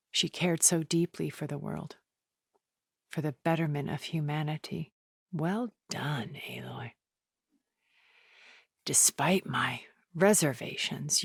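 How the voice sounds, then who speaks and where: A cultured, centuries-old female game villain with a low, gravelly voice. She speaks with polished, deliberate intensity, calculating yet full of regret. The sound is dry, with no room around it. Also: dry recording, heavily acted. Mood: wistful, measured, then soft, confiding. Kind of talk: dramatic video game voice acting.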